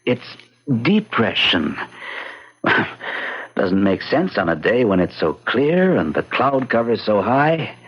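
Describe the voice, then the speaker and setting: A troubled gentleman of old radio drama. His low voice swings widely through deliberate, broken phrases, theatrical yet practical. It is husky, on a muffled lo-fi recording. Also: very animated, exaggerated voice. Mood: bewildered sadness. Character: practical gentleman newcomer, weighed down by unexplained sorrow.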